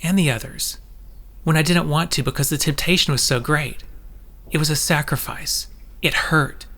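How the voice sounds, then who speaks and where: An actor playing an intense, possessive, manipulative telepath lover in an audio drama, pressing in a coaxing, insistent way. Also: male voice, fairly high pitch, moderate pace, pushed and bright. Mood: wounded, insistent.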